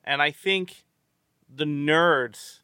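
A man's voice: on the high side, very slow, pushed and bright, smooth, dry recording, clean studio sound. A man hosting a casual movie-review podcast, rambling with many stammered restarts and free swearing. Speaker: podcast host.

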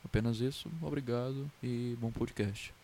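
A man's voice: medium pitch, steady tone, normal speed, mellow. A twenty-year-old Brazilian man hosting a podcast as a casual chat with friends, slangy and foul-mouthed. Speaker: podcast host.

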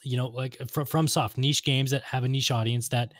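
A man's voice: mid-range voice, steady tone, moderate pace, no room sound, studio quality. A man co-hosting a casual gaming chat, talking emphatically and repeating his points with firm opinions. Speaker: podcast co-host. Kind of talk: casual chat about video games.

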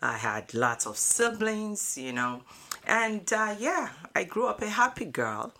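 A woman's voice: medium pitch, big pitch swings, measured pace, bright, forceful tone, slight background noise. A middle-aged woman from Nigeria with a Nigerian accent, talking cheerfully and emphatically as a guest in a radio interview. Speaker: interview guest, financial educator and speaker. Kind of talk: conversational interview. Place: radio studio. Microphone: studio microphone.

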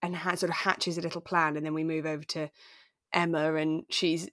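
Low-voiced woman chatting, close dry sound. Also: little pitch movement, a little fast, fairly bright tone, dry recording. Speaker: podcast co-host. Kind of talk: relaxed chat recapping a radio drama.

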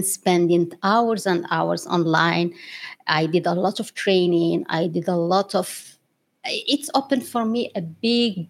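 A woman from Morocco telling her story as an interview guest, speaking English as a later language with frequent laughs. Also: fairly low pitch. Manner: laughs often, non-native English grammar.

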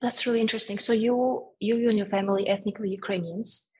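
Woman, podcast host, grew up in a post-Soviet country, slightly husky voice, explains context before asking. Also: medium pitch, steady tone, fast, a little mellow. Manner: explanatory, frames questions at length. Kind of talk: interview hosting.

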